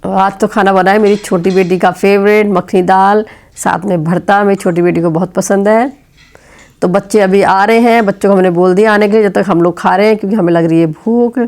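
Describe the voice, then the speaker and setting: A middle-aged woman with a sore throat and fever talks her way through home chores in a chatty vlog. Her voice sits at an ordinary middle pitch, and she explains each step as she goes. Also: fairly even intonation, fairly quick, darkish tone. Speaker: vlogger. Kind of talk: casual home vlog narration. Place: home kitchen.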